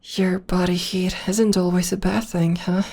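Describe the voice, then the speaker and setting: A woman playing a breathy, tender witch girlfriend. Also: lowish voice, little pitch movement, unhurried. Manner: soft and soothing, often whispering. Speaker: voice actor. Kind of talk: ASMR girlfriend roleplay. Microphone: close-up ASMR microphone.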